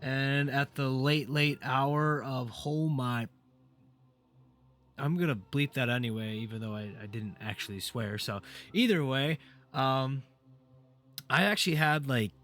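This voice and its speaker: A man who is sick and a bit raspy, hosting a game playthrough in casual, rambling commentary with many fillers and restarts. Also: mid-range voice, unhurried, a bit bright. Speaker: gaming channel host. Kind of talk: casual let's play commentary.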